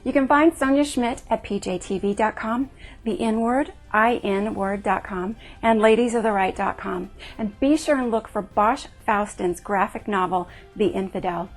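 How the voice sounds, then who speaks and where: A woman's voice: medium pitch, normal speed, soft background music. A woman hosting and doing interviews in a chatty, playful way. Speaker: host and interviewer.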